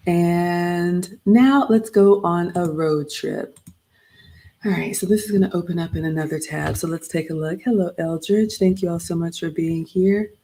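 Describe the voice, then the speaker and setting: A woman's voice: fairly low pitch, normal speed, mellow. A middle-aged woman from Ghana, chatting casually with viewers in a livestream as she looks back on a trip and greets people by name. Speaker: vlog host. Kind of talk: casual livestream travel recap.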